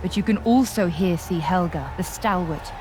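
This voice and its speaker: A woman's voice: lowish voice, moderate pace, low-quality recording. A woman giving dramatic, scripted narration for a fantasy audio adventure.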